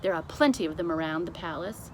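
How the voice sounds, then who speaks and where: A woman's voice: low-pitched, fairly quick, darkish tone, pitched below the performer's own voice, natural voice. A woman voicing a composed, commanding male royal butler in a children's storybook, giving formal, precise orders. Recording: clearly noisy amateur recording.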